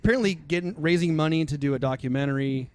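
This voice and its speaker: A man's voice: fairly high pitch, fast talker, slight background noise. A man co-hosting a podcast in casual banter, with a blunt, teasing way of talking. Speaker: podcast co-host.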